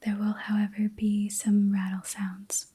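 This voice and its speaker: A woman hosting an ASMR reiki session in a soft, breathy whisper, gentle and soothing, with unhurried pauses. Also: mid-range voice, completely flat delivery. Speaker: ASMR host and reiki practitioner.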